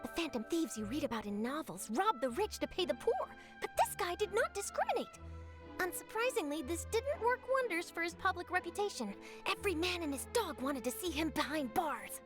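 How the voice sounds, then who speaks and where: A woman's voice: very high-pitched, big pitch swings, brisk. A woman playing an eager young female newspaper journalist in a video game, telling things theatrically, with music under her voice. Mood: eager storytelling. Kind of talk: animated game voice acting.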